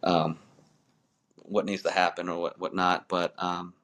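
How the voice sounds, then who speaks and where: A man in his forties with a low voice talks through his story on a podcast, circling back with restarts and "you know"s, laughing now and then. It is relaxed, unscripted interview talk. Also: a little slow. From born in Malaysia, raised in the United States. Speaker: wrestling referee, podcast guest.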